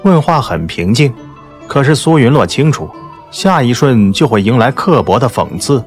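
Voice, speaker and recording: A man's voice: mid-range voice, big pitch swings, moderate pace, soft background music. A man reading scripted dramatic narration from a romance novel. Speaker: audiobook narrator.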